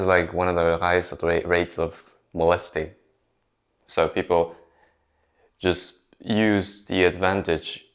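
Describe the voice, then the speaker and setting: A young man with a Japanese accent speaks to camera in a relaxed, unscripted way. His voice sits very low. He pauses and restarts often, leaning on fillers. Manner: conversational, many fillers and restarts. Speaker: YouTuber. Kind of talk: casual talk to camera.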